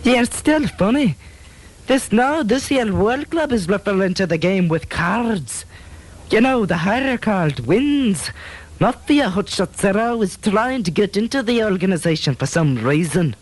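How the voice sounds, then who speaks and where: A gruff Scottish police chief for a comic radio play. He is bullying and scornful, with a melody that swings widely up and down. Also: high voice, lively intonation, a little slow, poor audio. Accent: Scottish, put on. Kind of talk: amateur comedy radio drama.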